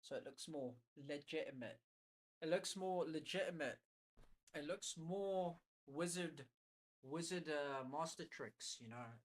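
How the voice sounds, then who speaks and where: A man's voice: fairly high pitch, a little slow. Man, live streamer, sniffly, muttering to himself, casual slang, home room. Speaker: livestreamer.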